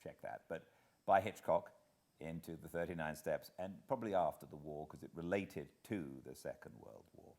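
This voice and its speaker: A man's voice: rumbling low, normal speed, a little mellow. A British man, an actor and narrator, chatting casually to introduce a reading in a hesitant, rambling, reflective way. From Britain.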